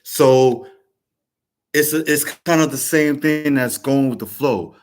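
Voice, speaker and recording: A man's voice: mid-range voice, slow. Man with a rambling motivational talk. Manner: rambling, repetitive, frequent restarts. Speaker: vlog host. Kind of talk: casual motivational monologue.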